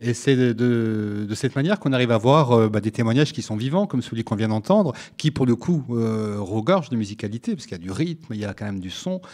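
A man's voice: medium pitch, expressive, a little fast, few pauses, a little mellow. A man whose first language is Italian, a musician guest speaking hesitantly in a live radio interview, with many fillers and restarts.

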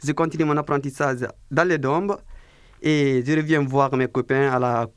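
A man in his twenties from Guinea, interviewed on the radio, answering in slow, careful sentences with some hesitations. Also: medium pitch, fairly even intonation, clear, resonant tone, no room sound, clean studio sound. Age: 20s. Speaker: interview guest, apprentice baker.

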